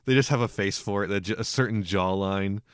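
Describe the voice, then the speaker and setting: A man's voice: on the low side, fairly quick, no echo, studio quality. A man hosting a casual film podcast, getting over a cold, wry and chuckling between his remarks. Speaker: podcast host.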